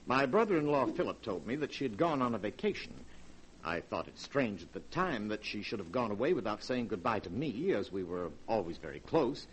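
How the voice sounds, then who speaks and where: An actor in a 1940s radio horror drama playing a cold, scheming murderer with a precise, melodramatic American delivery. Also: male voice, fairly low pitch, big pitch swings, brisk. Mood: feigned grief, uneasy. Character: cold, scheming murderer posing as grieving brother. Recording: faintly noisy vintage radio recording.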